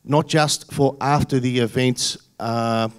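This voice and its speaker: A middle-aged man with an Australian accent speaks at a candidates' forum in a theatre, holding a microphone close. He talks in an earnest, insistent way and repeats phrases to hammer his points. His pitch sits at an ordinary middle level. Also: fairly even intonation, slow, clear, resonant tone. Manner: earnest, repetitive, stressing points. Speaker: election candidate. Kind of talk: forum answers to audience. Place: theatre stage panel. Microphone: handheld mic.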